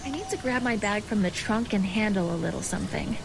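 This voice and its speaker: A woman's voice: medium pitch, fairly quick, vocal fry, background noise, natural voice. A young woman acting a determined, secretive video game character, speaking with a purposeful, reassuring and lightly teasing manner. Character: determined, secretive young woman guiding her newfound brother.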